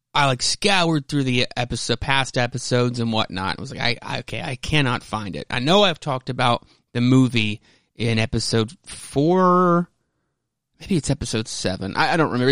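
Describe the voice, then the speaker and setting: A man's voice: mid-range voice, a bit bright, no room sound. Rambling man podcasting, very dry sound. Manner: rambling, hesitant, self-interrupting, profane. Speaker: podcast host. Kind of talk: casual rambling commentary.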